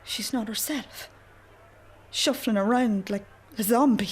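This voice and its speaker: A woman's voice: a little high, a little slow, slightly rough. A woman playing a fussing, anxious, protective Irish mother in a naturalistic radio drama. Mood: anxious, insistent.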